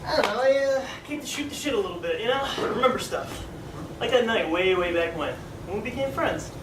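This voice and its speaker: An actor playing a breezy, needling teenage best friend back from the dead in a stage play, talking in casual slang. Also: male voice, very high voice, animated, fairly quick. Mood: teasing, needling. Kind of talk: live stage play acting. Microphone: far camera. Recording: distant, echoey theatre recording with noise.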